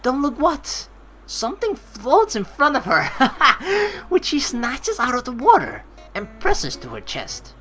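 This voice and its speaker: A woman doing let's play commentary, expressively reading the narration aloud and reacting to it, with game music in the background. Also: mid-range voice. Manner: expressive, exclaiming, reacts out loud. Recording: faint game music behind the voice.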